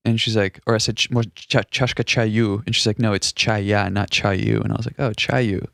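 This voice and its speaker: A young man whose first language was Russian, a DJ guest telling loose stories in a casual podcast chat and acting out quotes with big jumps in pitch. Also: fairly even intonation, moderate pace, gravelly, fairly bright tone, no room sound, clean studio sound. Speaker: guest music producer and DJ.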